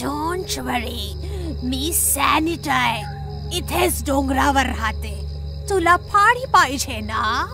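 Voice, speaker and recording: A woman playing an eerie old mountain woman in a horror story, coaxing in slow, drawn-out phrases. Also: sits high, expressive, gravelly, heavily acted. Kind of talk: dramatised horror story acting. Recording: loud music behind the voice.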